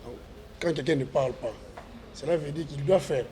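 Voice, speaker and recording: A man's voice: a little high, normal speed, dark tone, a little hiss or hum. A man, a parliamentary candidate answering interview questions with earnest, repetitive appeals to voters. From Congo.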